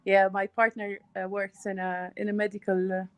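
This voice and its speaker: A woman in her thirties from Germany, a guest in a casual comedy chat, speaking softly and hesitantly with giggles. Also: mid-range voice, level tone, moderate pace, clear, resonant tone. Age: 30s. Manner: soft-spoken, giggly, hesitant. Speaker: guest viewer. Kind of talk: casual video-call chat.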